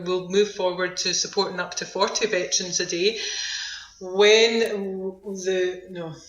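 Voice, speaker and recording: A woman's voice: on the low side, fairly bright tone, echoey room, low-quality recording. A woman with a Scottish accent speaking informally and upbeat as a centre manager being interviewed on the radio.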